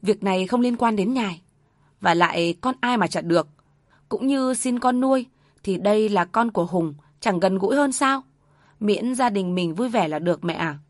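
A woman's voice: medium pitch, lively intonation, normal speed, no echo, studio quality, natural voice. Woman voicing a firm, protective young husband. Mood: earnest. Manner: earnest, pleading then firm.

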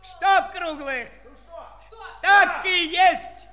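An actor playing a boisterous fairy-tale tournament herald who declaims in verse in a theatrical, drawn-out way for a children's audio play. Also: male voice, very high-pitched, sharp tone, exaggerated voice. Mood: excited announcing. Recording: 1960s studio play with music behind.

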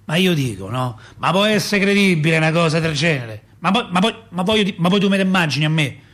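An actor playing a talkative middle-aged working-class Roman father, a doorkeeper, in an expressive, rambling radio-play monologue with a Roman accent and a husky voice. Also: fairly high pitch, fast. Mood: exasperated. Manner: expressive, rambling, repeats himself and asks rhetorical questions. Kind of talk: theatrical radio monologue, colloquial storytelling.

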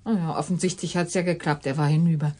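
Low-voiced, cheeky, gossipy cleaning lady. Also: steady tone, fast, dark tone. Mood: flippant. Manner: cheeky, blasé, swinging intonation. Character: blunt, gossipy, flippant female institute cleaning lady. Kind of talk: radio drama acting.

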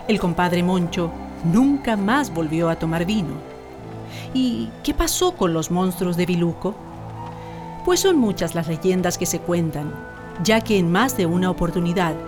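A woman narrating a scripted radio drama in a storytelling style. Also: on the low side. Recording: clear music bed under narration.

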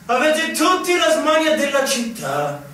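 An actor playing a condescending older army general in a radio play, pompous and patronising, with theatrical drawn-out emphasis. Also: male voice, very high voice, wildly swooping pitch, pushed and bright, heavily acted. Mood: patronising, amused. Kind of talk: theatrical radio drama acting. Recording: echoey, distant, noisy stage recording.

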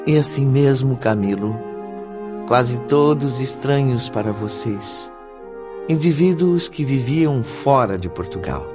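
A man's voice: medium pitch, lively intonation. Male radio drama narrator over music. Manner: formal, measured storytelling.

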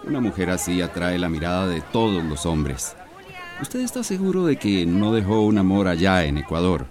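A pushy, insinuating market fish buyer in a radio soap. His Central American voice is fairly low and swings with lively, dismissive haggling. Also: normal speed. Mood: sly, insinuating. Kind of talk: radio soap opera acting.

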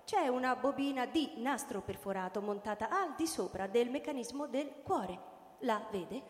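A woman's voice: a little high, lively intonation, moderate pace, natural voice. A woman playing a formal, dispassionate diagnostic computer with precise, procedural delivery in a radio drama. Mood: formal, detached. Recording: very echoey hall.

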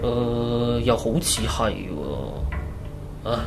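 A man's voice: mid-range voice, animated, very slow, gravelly, music in the background, full character voice. A man playing a timid, eager husband in a radio drama, speaking hesitantly. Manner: hesitant, hems before answering. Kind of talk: Cantonese radio drama acting.

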